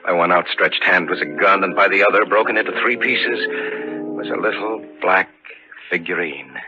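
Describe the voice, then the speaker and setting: An actor playing a grave young man who narrates his supernatural ordeal in solemn, measured old-time radio horror style. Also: deep voice, natural voice. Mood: grave, suspenseful. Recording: dull vintage radio recording, loud music.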